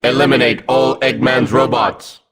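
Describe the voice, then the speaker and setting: A man voicing a hulking combat robot in a video game, gruff and mechanical, giving clipped, vengeful commands. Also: mid-range voice, steady tone, normal speed, a bit bright. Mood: cold, commanding.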